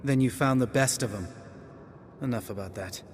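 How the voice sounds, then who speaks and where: A cynical game warrior with a medium-pitched male voice, acted by a man. He is blunt, sardonic and fond of needling. The sound has a long reverberant tail and some noise behind it. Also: moderate pace, natural voice. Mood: sardonic, bitter. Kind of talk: video game dialogue.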